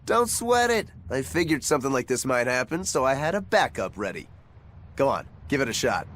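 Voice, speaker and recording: A man's voice: mid-range voice, sing-song, fairly quick, a bit bright. A man voicing a breezy, roguish, encouraging young joker in a dubbed video game, with a casual, cajoling manner. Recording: faint music behind the voice.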